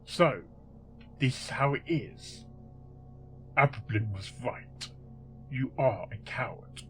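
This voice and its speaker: A man's voice: mid-range voice, lively intonation, slow pace, fairly bright tone, soft background music. A man playing a bitter, vengeful pony detective hero, confrontational and dramatic, in a fan audio drama. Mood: grim defiance.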